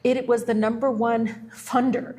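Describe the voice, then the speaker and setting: A woman's voice: a little high, flat delivery, normal speed, darkish tone. A woman politician answering interview questions, earnest and plain-spoken, telling anecdotes. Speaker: politician guest.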